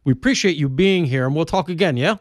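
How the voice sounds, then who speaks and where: Southern man, Alabama accent, talk radio host, joking and rambling, slightly high, dry close sound. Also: expressive, fast, no room sound. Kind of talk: casual radio interview.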